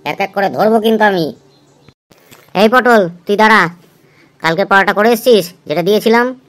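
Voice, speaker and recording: A woman's voice: mid-range voice, moderate pace, lightly acted. A woman playing a stern, exasperated schoolteacher who scolds and lectures pupils in an amateur cartoon dub.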